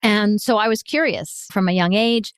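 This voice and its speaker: A woman's voice: mid-range voice, moderate pace, smooth, no room sound, studio quality. Enthusiastic woman guest. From United States. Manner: enthusiastic, expressive, laughs often. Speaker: guest, consultant and author. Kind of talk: storytelling in an interview.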